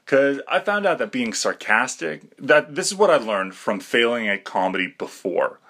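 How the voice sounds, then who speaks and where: A man's voice: medium pitch, very animated, normal speed, a bit bright. A young man talking through a rambling, self-deprecating podcast monologue, full of fillers and restarts. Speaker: podcast host.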